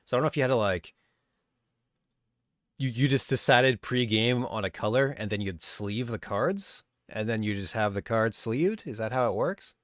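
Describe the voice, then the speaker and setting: A man co-hosting a podcast, chatting casually with a friend, animated and swearing freely. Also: medium pitch, moderate pace, no echo, clean studio sound. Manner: animated, swearing, jumps into mock voices. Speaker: podcast co-host. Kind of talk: casual chat among friends.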